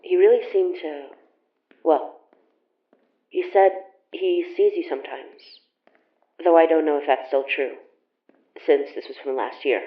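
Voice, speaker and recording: Casual young woman, roomy echo. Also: deep voice. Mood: reassuring, chatty. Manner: casual, halting, conversational. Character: young woman, friendly, teasing and caring. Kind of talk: naturalistic audio drama acting. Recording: roomy echo, dull lo-fi sound.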